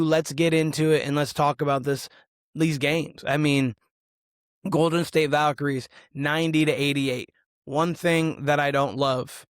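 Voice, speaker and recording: Hoarse man breaking down basketball games. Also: fairly high pitch, dry recording, clean studio sound. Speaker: basketball show host. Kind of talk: solo sports analysis podcast.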